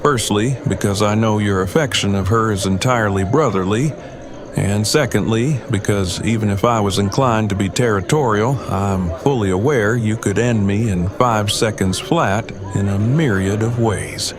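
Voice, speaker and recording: Man playing stiffly formal, wordy, low-voiced groom. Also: normal speed, music in the background, close to an everyday voice. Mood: curious, careful. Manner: verbose, stiffly formal, precise wording. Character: Eugene, formal, wordy, earnest bridegroom survivor. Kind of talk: audio drama acting. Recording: crowd chatter behind. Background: party crowd chatter.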